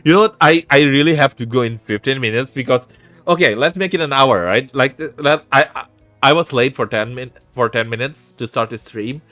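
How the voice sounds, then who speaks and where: A man's voice: mid-range voice, brisk, bright, forceful tone, no room sound, soft background music. A young man with an Indonesian accent, chatting casually on a live stream, laughing often, swearing and restarting words. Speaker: VTuber streamer.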